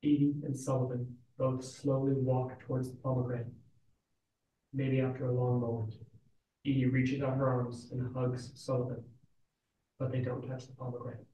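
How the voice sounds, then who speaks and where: Monotone man reading in an echoey room. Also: medium pitch, moderate pace, slight background noise. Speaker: stage directions reader. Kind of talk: plain scripted narration at a play reading. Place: indoor room, live play reading. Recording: echoey room, far from mic.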